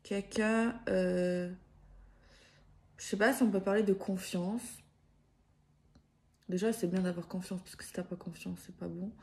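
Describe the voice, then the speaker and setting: A woman's voice: mid-range voice, steady tone, unhurried, pauses often, a little mellow. A woman giving improvised spiritual guidance in a casual, hesitant way, often circling back and rephrasing herself. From France. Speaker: card reader giving guidance to viewers.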